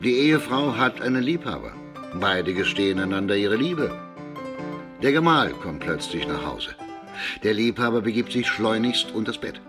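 Dry melodrama narrator: lowish pitch, lively intonation, radio play with music underneath. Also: male voice. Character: matter-of-fact male narrator of a farcical melodrama.

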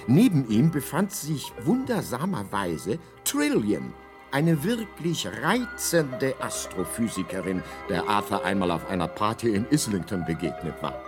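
Droll guidebook narrator, low voice, animated intonation, mock-solemn radio storytelling. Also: male voice, unhurried. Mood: wry recounting. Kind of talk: scripted radio drama narration. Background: music.

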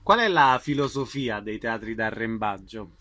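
Bright-voiced male radio interviewer. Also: fairly low pitch, lively intonation, moderate pace.

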